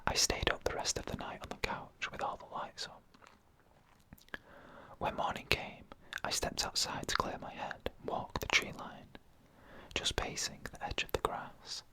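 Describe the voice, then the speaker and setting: Bright, breathy ASMR whisperer. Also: a little slow. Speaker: narrator. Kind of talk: whispered ASMR narration of a scary story.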